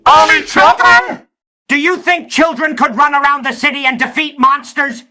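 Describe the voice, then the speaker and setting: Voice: high-pitched, moderate pace, bright, forceful tone. An actor voicing a furious, scornful mad scientist in a cartoon comic dub, ranting with sneering sarcasm. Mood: scornful rage.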